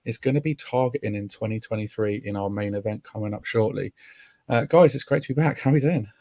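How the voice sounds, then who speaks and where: A man hosting a podcast in casual chat, raspy from a chest infection. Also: lowish voice, quick pace, darkish tone, dry recording, clean studio sound. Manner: chatty, friendly, many ums. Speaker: podcast host. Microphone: remote call mic.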